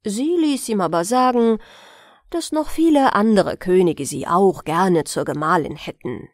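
A woman tells a bedtime fairy tale at a slow, restful pace. Her intonation rises and falls expressively as she narrates. The sound is dry and close, as in an audiobook. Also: medium pitch, no room sound. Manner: expressive, unhurried storytelling for relaxation. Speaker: audiobook storyteller.

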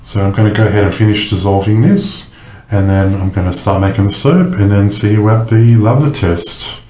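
A man casually explaining his soap making in a kitchen, relaxed and chatty with many pauses and ums. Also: lowish voice, roomy sound. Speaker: soap maker. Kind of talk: casual how-to narration.

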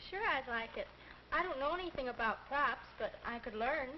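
A woman's voice: sits high, expressive, normal speed. A young woman playing a polite, friendly, sensible teenage girl in a 1940s instructional film. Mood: friendly, helpful. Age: young adult. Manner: neat, courteous. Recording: muffled, noisy 1940s film soundtrack.

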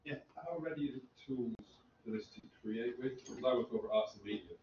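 A man's voice: medium pitch, fast talker. A man in a seminar audience casually asking a question and commenting. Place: university seminar room, indoors. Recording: distant, echoey, dull, noisy room.

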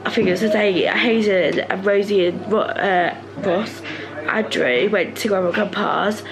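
A young woman from the UK with a croaky voice from a cold, talking to camera at home late at night in a rambling, confiding way. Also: mid-range voice, measured pace, over music. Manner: rambling, confiding, many fillers like "like". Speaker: family vlogger, mother. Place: home living room, sofa, indoors at night. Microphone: handheld camera held close to her face.

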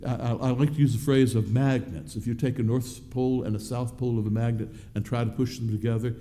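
A man preaching a sermon from a church pulpit in a halting, deliberate, explanatory way. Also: mid-range voice, fairly even intonation, mellow. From Southern United States. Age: 60s. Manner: halting, deliberate, with repeated words and pauses. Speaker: pastor preaching.

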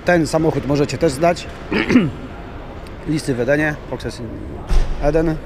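Hoarse male vlogger, slow rambling tour, slightly high voice, noisy hall around him. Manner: slow, rambling, chuckling asides. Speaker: vlogger touring a trade show. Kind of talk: casual walkthrough commentary. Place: large indoor exhibition hall.

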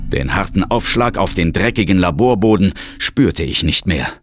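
A man's voice: sits low, lively intonation, normal speed, music in the background. A man giving dramatic first-person scripted narration in a horror audio drama.

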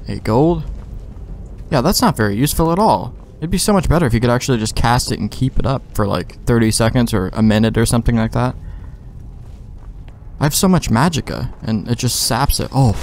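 Guy doing a lets play, chatty and a bit sweary, average pitch, some noise behind. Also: lively intonation, fairly quick, darkish tone. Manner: informal, mildly profane, thinking aloud. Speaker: let's play host. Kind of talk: casual gameplay commentary.

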